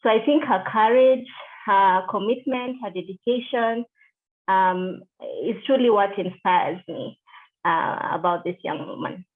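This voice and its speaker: A middle-aged woman from Kenya, interviewed over a video call, speaking in a measured way with frequent fillers and restarts. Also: medium pitch. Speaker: interviewee, deputy country director. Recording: tinny call audio.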